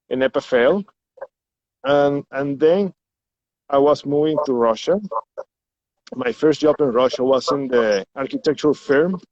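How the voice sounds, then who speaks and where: A man's voice: medium pitch, no room sound. Venezuelan man speaking English, muffled and dry. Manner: hesitant, repeats words while searching for English. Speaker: interview guest. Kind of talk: casual interview.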